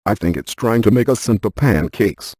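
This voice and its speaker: An actor playing a brainy, dryly cautious ghost hunter scientist in a video game, speaking in a deadpan, precise, technical way. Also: male voice, lowish voice, normal speed, slightly rough, no room sound, clean studio sound. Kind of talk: scripted video game character dialogue.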